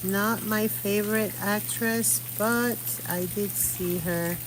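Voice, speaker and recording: A woman's voice: medium pitch, steady tone, slow pace, background noise. A middle-aged woman from Puerto Rico chatting casually outdoors during a live stream, rambling as she searches for words. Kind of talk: casual chat while painting. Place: outdoors under an umbrella.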